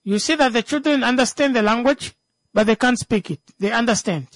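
Namibian accent, native speaker of a local language, mid-pitched voice, emphatic, repeats phrases, unscripted discussion, dry sound. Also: brisk, no echo.

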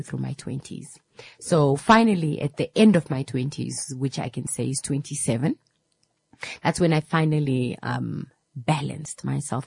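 A woman's voice: low voice, vocal fry, a little mellow, slightly rough, no room sound. A woman, hosting a reflective motivational talk and taking calls, often checking in with "right?". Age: 30s.

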